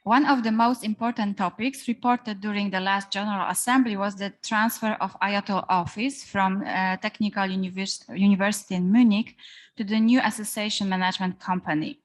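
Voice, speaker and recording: A woman chairing a general meeting as president, speaking formally with halting pauses and frequent fillers. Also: medium pitch, steady tone.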